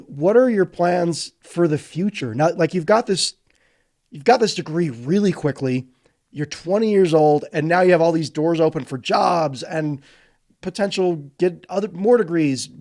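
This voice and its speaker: A man's voice: a little high, darkish tone. A man hosting a casual interview, asking encouraging questions with enthusiasm. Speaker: host.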